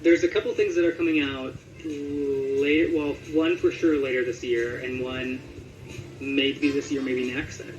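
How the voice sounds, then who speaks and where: A man's voice: medium pitch, fairly even intonation, measured pace, lo-fi sound, a little hiss or hum. A man giving a casual interview as a voice actor, talking conversationally and thinking aloud with some hesitation. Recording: roomy echo with music underneath.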